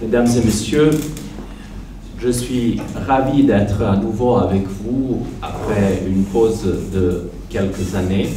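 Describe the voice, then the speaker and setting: A man in his forties, not a native French speaker, giving an academic lecture at a conference, pausing often with fillers as he speaks into a handheld microphone. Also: on the low side, background noise. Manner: careful, hesitant with frequent pauses and fillers. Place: conference hall, seated at a panel table. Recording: echoey hall, slightly distant.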